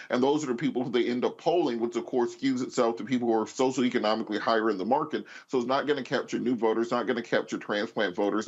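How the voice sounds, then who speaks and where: Methodical American man, slightly muffled audio. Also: medium pitch. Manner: methodical, explains point by point. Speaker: political panelist. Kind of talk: political panel commentary.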